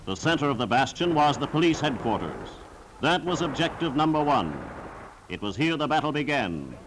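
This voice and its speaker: A man's voice: on the high side, very animated, moderate pace, heavy background noise. A man narrating a scripted 1940s wartime newsreel in a solemn, formal, declamatory style, on a vintage film soundtrack.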